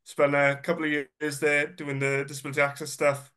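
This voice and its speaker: Congested man, slightly higher voice. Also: completely flat delivery, a little fast, pushed and bright. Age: 30s. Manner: chatty, self-deprecating, with frequent ums and uhs. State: congested, slightly nasal from a cold. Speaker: guest speaker. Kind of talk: informal personal presentation.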